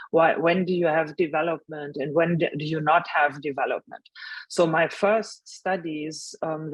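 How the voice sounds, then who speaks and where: A German woman with a German accent, speaking as a panelist about her career path, pausing often with ums and restarts. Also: low-pitched, husky, dry recording, low-quality recording. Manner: hesitant, many ums and restarts.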